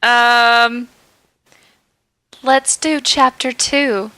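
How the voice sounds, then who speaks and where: Bright young woman, giggly podcast co-host. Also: a little high, steady tone, drawn-out words, clean tone, no echo. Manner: giggly, laughs often. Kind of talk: casual chat and reading fan fiction aloud.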